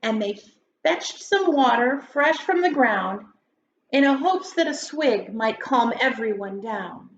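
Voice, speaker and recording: A woman's voice: on the high side, lively intonation, slow pace. A woman reading a children's story aloud like a grade school teacher, with theatrical, emphatic delivery. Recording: roomy echo, dull sound, faint noise.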